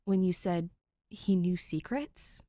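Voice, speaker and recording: A woman voicing an animated, playful young ghost boy who likes to tease, in a storybook reading. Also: lowish voice, takes it slowly, no echo. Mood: curious.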